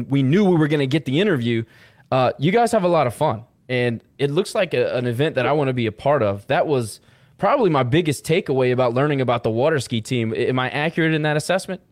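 A man's voice: mid-range voice, no echo. A man hosting a podcast in an informal, enthusiastic, conversational interviewing style. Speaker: podcast host.

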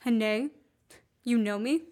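A woman's voice: on the high side, unhurried, darkish tone, lightly acted. A woman acting in a student radio play as an earnest, wary man on the run, with a polite, restrained manner. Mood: guarded. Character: earnest, wary male fugitive visitor.

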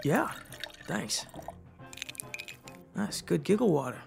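A man playing a cornered, nervy 1920s numbers runner in an audio drama, slangy and defiant, with a gravelly voice. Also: high voice, sing-song, slow pace, soft background music, heavily acted. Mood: wary, defiant.

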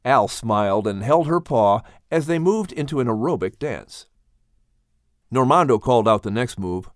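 Animated male children's storybook narrator. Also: mid-range voice, moderate pace, dry recording, studio quality. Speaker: audiobook narrator.

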